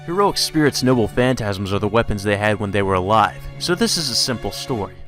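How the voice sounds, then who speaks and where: A man's voice: fairly low pitch, expressive, brisk, fairly bright tone. Haughty warrior king, voiced by a man over music. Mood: mocking, condescending. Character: arrogant, mocking golden-armored warrior king.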